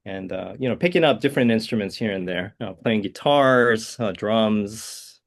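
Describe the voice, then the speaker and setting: Gravelly low-voiced man. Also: normal speed. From South Korea. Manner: soft-spoken, hesitant, many uh and um fillers. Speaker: guest composer. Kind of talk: interview answers.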